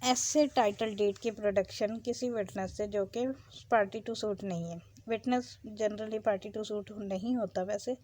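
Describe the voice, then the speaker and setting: A woman's voice: mid-range voice, steady tone, measured pace, few pauses, darkish tone, rough recording. A woman with a Pakistani accent giving an explanatory law lecture, as a teacher going through legal text.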